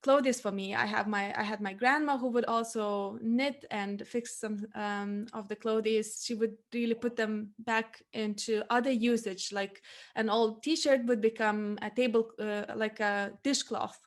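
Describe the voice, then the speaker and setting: Higher-voiced young Lithuanian woman hosting a podcast. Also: steady tone, unhurried. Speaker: podcast host and interviewer. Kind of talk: conversational interview.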